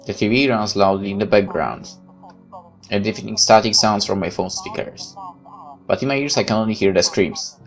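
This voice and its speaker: A man's voice: on the low side, moderate pace, soft background music. A man hosting a gaming video, reading visual novel text aloud and adding casual commentary.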